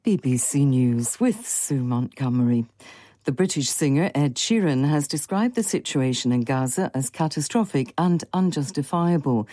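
A woman reading a radio news bulletin in a steady, formal manner from a studio. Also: sits low, no room sound. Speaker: newsreader. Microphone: studio microphone.

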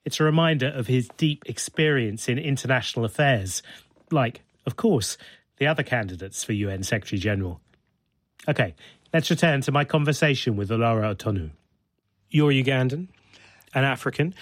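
A man's voice: medium pitch, expressive, fairly quick, no room sound. A man hosting a radio interview, asking probing questions and narrating in a composed broadcast manner. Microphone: studio mic.

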